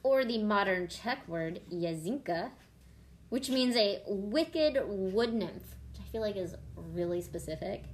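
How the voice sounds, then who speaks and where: A woman's voice: mid-range voice, animated, unhurried, a little hiss or hum. A woman hosting a podcast, explaining and telling things in an informal, irreverent, sweary conversational style. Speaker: podcast host. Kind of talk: casual conversational storytelling and explaining.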